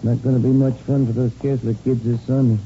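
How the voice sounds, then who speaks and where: An actor playing a steady American police detective partner in an understated, plain-spoken radio drama style. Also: male voice, medium pitch, fast, slight background noise, lightly acted. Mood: low-key. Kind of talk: 1950s radio drama acting. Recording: dull vintage radio recording, music behind.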